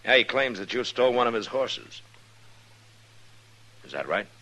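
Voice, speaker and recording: An American man playing a calm, plain-spoken marshal in an old radio western, talking in a measured, matter-of-fact way. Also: medium pitch, heavily acted, low-quality recording. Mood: calm, firm.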